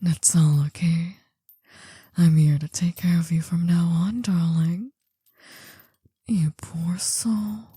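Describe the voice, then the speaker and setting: A woman playing an older female mafia boss in an intimate ASMR roleplay, possessive, doting and soothing, in a breathy half-whisper. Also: on the low side, steady tone, warm tone, dry recording, exaggerated voice. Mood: tender, soothing. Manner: slow, soothing, coaxing.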